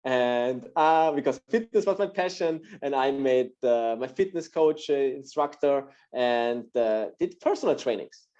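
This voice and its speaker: A man's voice: medium pitch, lively intonation, a little slow, hardly any pauses, darkish tone, muffled recording. A man from Austria with an Austrian German accent, a native German speaker, telling his story on a video call, hesitant with many fillers. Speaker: guest speaker.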